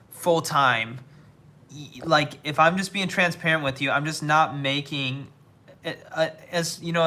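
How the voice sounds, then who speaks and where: Young American streamer, bright and slightly high voice, loose chatty replies to chat with restarts. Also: male voice. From United States. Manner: reads viewer comments aloud and replies, with halting restarts. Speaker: livestreamer reading chat. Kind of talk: casual livestream chat. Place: living somewhere in Asia.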